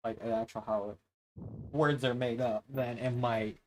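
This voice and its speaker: A man's voice: mid-range voice, fairly even intonation, measured pace, a little mellow, a little hiss or hum, poor audio. Man chatting casually on a game stream. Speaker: livestreamer playing a video game. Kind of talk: casual rambling stream chat. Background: game music.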